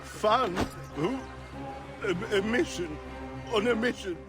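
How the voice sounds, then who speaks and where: An actor playing a pompous, hungover Greek drunkard who groans and complains floridly in a video game. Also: male voice, sits high, takes it slowly, music in the background. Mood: drunken. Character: hungover, pompous, theatrical drunkard Greek man, grumbling wit.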